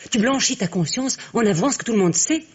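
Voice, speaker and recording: A woman's voice: on the high side, animated, fast, husky. A middle-aged woman playing a bitter, mocking wife in a theatrical French radio drama style. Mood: mocking, sarcastic. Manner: sarcastic, theatrical.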